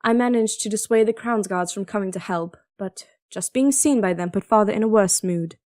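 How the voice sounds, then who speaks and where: An actress playing a devoted, strong-willed young princess in an audio drama, speaking and narrating in a measured, earnest, solemn way. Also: female voice, mid-range voice, a little mellow, no echo, clean studio sound, close to an everyday voice. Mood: solemn, reflective. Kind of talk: audio drama acting with first-person narration.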